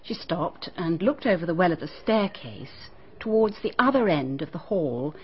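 An actress with a British accent playing a prim, nervous schoolteacher being questioned in a radio drama, answering in a clipped, guarded way. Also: female voice, on the low side, expressive, normal speed, slight background noise, natural voice. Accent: British English. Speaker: teacher questioned by a detective. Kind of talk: BBC radio drama acting. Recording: dull, muffled archive radio broadcast.

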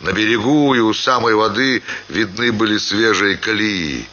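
A man giving an expressive, theatrical reading of a literary story as a radio actor. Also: fairly low pitch, normal speed, highs cut off, a little hiss or hum. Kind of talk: dramatic literary narration.

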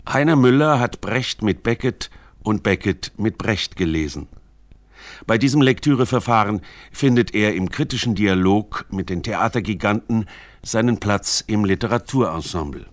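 A man's voice: on the low side, animated, normal speed, no room sound. A man reading scripted narration for a radio documentary. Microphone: close studio microphone.

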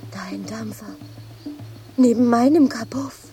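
A tender, teasing lighthouse woman in a fairy-tale radio play. She is intimate and unhurried, in a mellow, fairly high voice. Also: faint music behind. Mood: gently teasing. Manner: soft, intimate, often murmured. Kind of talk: German radio drama acting.

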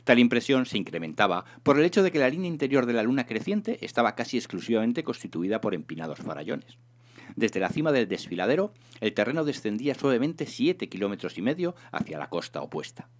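A man reading a humorous science fiction novel aloud in an expressive, theatrical narration. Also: mid-range voice, a little fast. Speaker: reader of a serialized humorous sci-fi novel.

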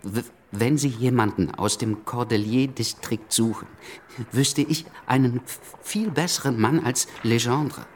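Radio drama: man as stammering revolutionary, cheeky and excitable, mid-pitched, faint noise behind. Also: unhurried, close to an everyday voice. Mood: eager. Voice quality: stammer on word beginnings. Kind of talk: German radio play dialogue, naturalistic.

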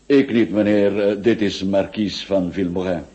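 An actor playing a polite, wry gentleman friend in a radio drama, suave and formal. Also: low voice, fairly even intonation, normal speed, close to an everyday voice. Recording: muffled radio play with faint music.

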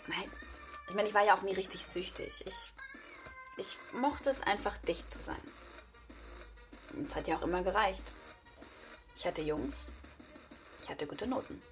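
A woman's voice: mid-range voice, very animated, normal speed, pauses often, natural voice. An actress playing a candid, breezy young party girl in a naturalistic radio drama, frank and casual, with music underneath. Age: young adult.

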